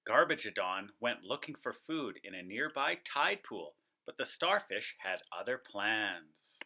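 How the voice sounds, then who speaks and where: Male co-reader for a picture book, sharp bright tone, animated and expressive delivery for kids. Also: lowish voice, a little slow. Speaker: co-reader of a children's read-aloud. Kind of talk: animated children's storybook narration.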